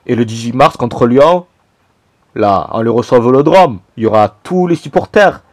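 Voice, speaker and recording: A man's voice: mid-range voice, normal speed. A young man from Marseille with a Marseille French accent, ranting casually about football to his own camera in a slangy, repetitive way. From Marseille, France. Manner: animated, repetitive, slangy, fired up. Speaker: football fan YouTuber. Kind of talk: casual vlog rant to camera. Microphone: camera mic, self-filmed.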